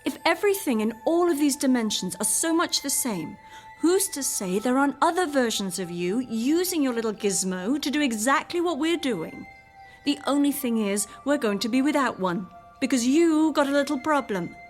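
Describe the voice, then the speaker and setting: An actress playing a headstrong, demanding wife in a radio drama, with a sarcastic, bossy manner. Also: female voice, sits high, expressive, normal speed, soft background music. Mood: scheming. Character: headstrong, demanding, sardonic wife chasing a perfect life.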